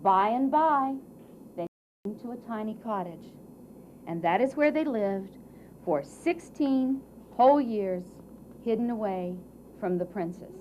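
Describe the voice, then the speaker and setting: A woman narrating a scripted fairy tale for a puppet show in a theatrical way, with dramatic pauses and emphasis. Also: medium pitch, lively intonation. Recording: muffled, faint background noise.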